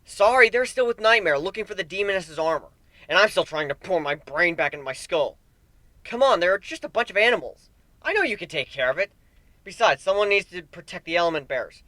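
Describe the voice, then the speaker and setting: Man playing a high-strung, high-pitched griffin. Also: brisk, no room sound. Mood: flustered. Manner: excitable, ranting, dramatic. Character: frazzled, sarcastic male griffin, meticulous schemer in meltdown.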